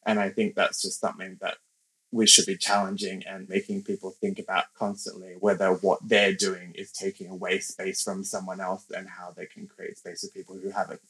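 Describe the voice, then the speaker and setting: A man's voice: low-pitched, monotone, fairly quick, pushed and bright, low-quality recording. A young man from Australia, a podcast guest speaking reflectively in casual interview talk with many fillers.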